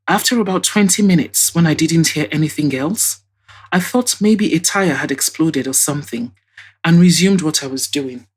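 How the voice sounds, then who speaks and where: A woman's voice: deep voice, normal speed, breathy edge. A woman voicing a chatty, scornful female witness in an audio drama, telling things emphatically with indignant asides. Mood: earnest, recalling.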